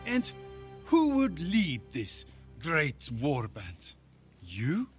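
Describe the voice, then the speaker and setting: High-voiced indignant Viking warrior. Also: male voice, wildly swooping pitch, extremely slow, faint music behind, exaggerated voice. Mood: sardonic. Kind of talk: cinematic video game acting.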